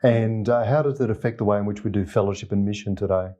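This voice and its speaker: A man hosting a podcast interview, asking questions and quietly affirming the guest with frequent murmurs. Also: on the low side, fairly even intonation, fast, lo-fi sound. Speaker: podcast host.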